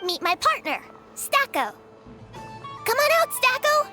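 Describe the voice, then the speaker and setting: Tiny squeaky, excitable fairy girl. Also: slow pace, full character voice. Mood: boisterous, challenging. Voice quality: squeaky, childlike. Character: small excitable childlike female fairy companion, cheeky and chatty. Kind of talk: video game character acting. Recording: music behind the voice.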